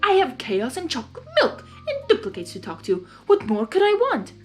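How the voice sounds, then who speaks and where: A woman's voice: sits high, huge pitch swings, moderate pace, soft background music, pitched above the performer's own voice. A woman voicing an impatient, boastful male spirit of chaos in a storybook reading, exasperated and demanding. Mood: defensive. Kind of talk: dramatized fanfiction storytelling.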